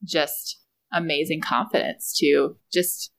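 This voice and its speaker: A woman's voice: low-pitched, steady tone, slow pace, vocal fry, fairly bright tone. A woman in her thirties hosting a casual conversation in an effusive, emphatic way. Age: 30s. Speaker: podcast host.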